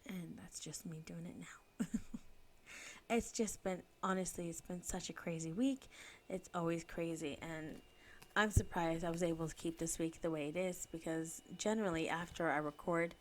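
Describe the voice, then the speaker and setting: A woman's voice: lowish voice, steady tone, moderate pace, slight background noise, rough recording. A woman in her thirties, a little sniffly from a cold, chatting casually and laughing now and then as she narrates. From United Kingdom. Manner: chatty, laughs often, self-deprecating. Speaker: planner vlogger.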